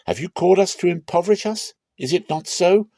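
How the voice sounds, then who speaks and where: A man's voice: high-pitched, expressive, a little fast, warm tone, no echo, pitched above the performer's own voice. Menacing, pointed Philistine townsman, acted by a man. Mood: threatening.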